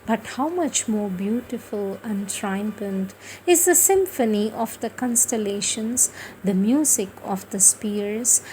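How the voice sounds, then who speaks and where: A woman reciting spiritual prose devotionally, in a solemn, declamatory manner with measured phrasing and pauses. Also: on the high side, darkish tone, a little hiss or hum, rough recording. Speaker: reader of spiritual writings.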